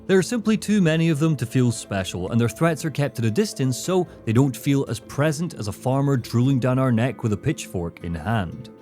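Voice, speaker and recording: A man narrating a scripted video essay as its host, with dramatic, deliberate phrasing. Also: mid-range voice, darkish tone. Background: faint background music.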